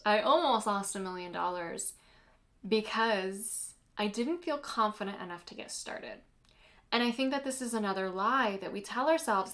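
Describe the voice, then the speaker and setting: A woman's voice: medium pitch, a bit bright. A woman from the United States whose first language is Polish, hosting a casual solo podcast as a business coach, chatty and earnest with lots of "like" and "you know".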